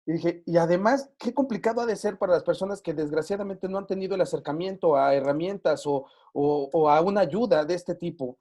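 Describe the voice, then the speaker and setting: A man's voice: sits high, a little fast, few pauses, warm tone. A man hosting an online group session as life coach, chatting casually with frequent fillers over a video call.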